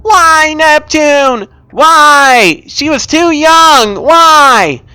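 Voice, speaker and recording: A man voicing an earnest, excitable young sea sponge from a cartoon story. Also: extremely high pitch, extremely slow, voice pushed up, exaggerated voice. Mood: anguished.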